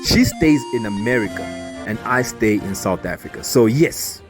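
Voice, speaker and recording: A young man from Zambia telling his own life story in a casual, earnest and open way, with animated emphasis. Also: on the low side, normal speed, over music.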